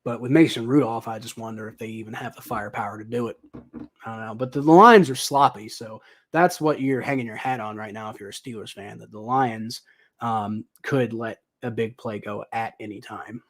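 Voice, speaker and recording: A young man doing casual live football commentary, thinking out loud with lots of ums and uhs, his voice a little dry and raspy. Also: lowish voice, fairly even intonation, fairly quick. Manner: rambling, thinking aloud with many ums and uhs. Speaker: livestream host commentating football.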